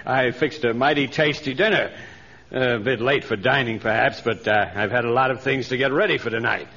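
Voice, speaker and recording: An actor playing a sly, smug swindling stage magician and villain in an old radio adventure, theatrical, oily and taunting. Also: male voice, mid-range voice, a little fast, pushed and bright, natural voice. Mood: smug, gloating. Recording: dull old radio recording.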